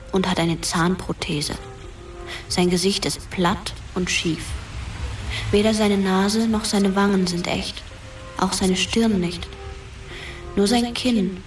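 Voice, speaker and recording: Woman as a hushed ghostly teenage girl, music behind. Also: medium pitch, far from the mic, natural voice. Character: dead teenage girl, soft-spoken, reproachful ghostly narrator. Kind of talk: radio drama narration.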